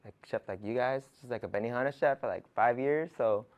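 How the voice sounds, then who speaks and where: A man's voice: mid-range voice, a little fast. A man in his late twenties, a chef contestant, chatting casually in a hesitant, filler-heavy way. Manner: hesitant, peppered with "like". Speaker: former restaurant chef, contestant. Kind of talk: casual chat.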